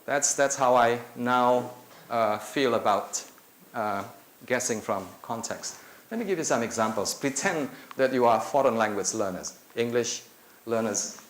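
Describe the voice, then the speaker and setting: Middle-aged Indonesian lecturer, native Indonesian speaker, average pitch, chatty with filler pauses; echoey room with faint hiss. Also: male voice. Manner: conversational, with many filler pauses. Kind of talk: conference talk. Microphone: handheld mic.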